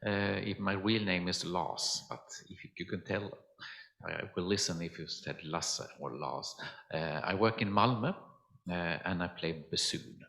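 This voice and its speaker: A man, introducing himself at a conference in a halting, soft-spoken way. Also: low voice, creaky. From Sweden. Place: conference hall.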